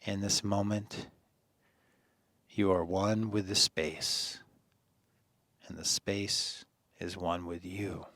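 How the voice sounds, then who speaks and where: Male meditation guide, soothing and earnest, very unhurried delivery, close dry sound. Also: sits low, little pitch movement, fairly bright tone, no echo. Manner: soothing, earnest, deliberate phrasing with pauses. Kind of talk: guided meditation, gentle encouragement.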